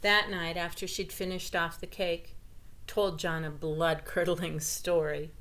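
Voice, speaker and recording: A woman's voice: lowish voice, level tone, measured pace, a bit bright. A woman reading a children's story aloud and chatting simply and expressively to young listeners.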